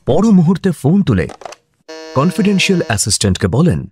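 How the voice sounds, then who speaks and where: A man's voice: on the high side, very animated, brisk, darkish tone, faint music behind. A man narrating a scripted comedy radio play as a dramatic storyteller.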